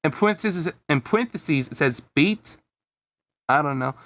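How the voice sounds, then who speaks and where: A man's voice: on the high side, lively intonation, normal speed, fairly bright tone. A man reading a fan fiction story aloud casually, often breaking off to comment on it with a chuckle. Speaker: amateur fan fiction reader.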